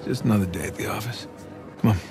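Man acting a young treasure hunter, American, lowish pitch, wry, guarded, cinematic game, clear background music. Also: quick pace, close to an everyday voice. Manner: wry, sardonic.

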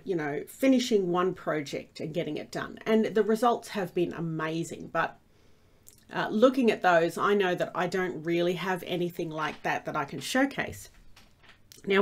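A woman with a stuffy cold, rambling through casual commentary over her Minecraft gameplay with lots of fillers and restarts. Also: lowish voice. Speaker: Minecraft let's play host.

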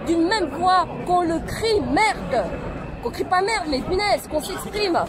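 A woman in her forties with a very high voice speaks with fierce indignation at a protest, firing off rhetorical questions. She is recorded on a handheld camera close to her face in a city street. Loud crowd chatter and heavy traffic noise fill the background. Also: expressive, slow pace. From France. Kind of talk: street interview.

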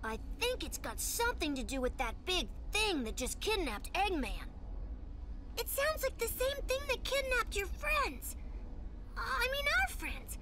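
A woman's voice: extremely high pitch, big pitch swings, measured pace, bright, forceful tone, heavily acted. A woman voicing an earnest, clever young boy fox sidekick in a video game, explaining things eagerly. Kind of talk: animated video game acting.

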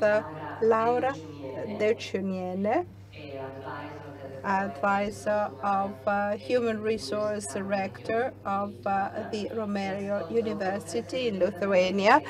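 A woman introducing a conference panel session at a table mic, talking hesitantly with fillers. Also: medium pitch. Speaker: session moderator. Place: conference hall.

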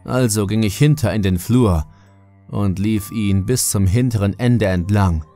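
A man's voice: lowish voice, a little slow, warm tone, soft background music. A man reading a scripted first-person horror audiobook as the narrator.

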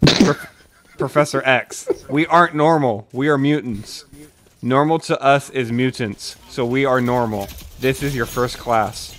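A man's voice: mid-range voice, a little slow, poor audio. A young man on a livestream at home, reading absurd comedy scripts aloud. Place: dim room at home.

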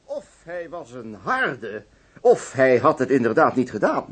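An actor playing a composed police inspector in a radio play, measured and formal as he sets out cases. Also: medium pitch, sing-song, heavy background noise. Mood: narrating, composed. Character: composed male police inspector recounting an old case. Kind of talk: radio play acting, storytelling.

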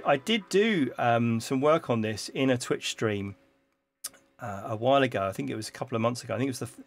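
A middle-aged man hosting a live stream in his home studio, casually explaining how he builds a track, with frequent ums and restarts. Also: medium pitch. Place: home music studio with keyboard and computer. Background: faint synth music from the session.

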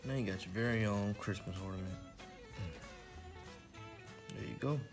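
A man with a fairly low voice hosts a children's story time. He presents as a storyteller and casual instructor, speaking to young listeners. Music plays behind his voice. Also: moderate pace. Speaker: library story time host.

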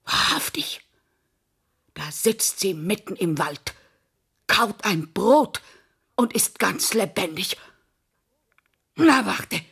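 Hoarse, greedy piggish villainess. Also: female voice, medium pitch, expressive, fairly bright tone, exaggerated voice. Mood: menacing, vengeful. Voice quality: hoarse, rough voice. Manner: theatrical, slow, savouring. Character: evil pig-like queen, greedy, murderous, grunting villainess. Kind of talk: radio play acting.

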